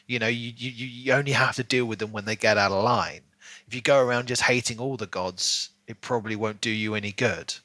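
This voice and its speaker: A man's voice: mid-range voice, steady tone, a little fast, bright, forceful tone, no room sound. A man co-hosting a podcast, chatting casually about books and thinking aloud as he goes. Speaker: podcast co-host. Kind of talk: casual book discussion.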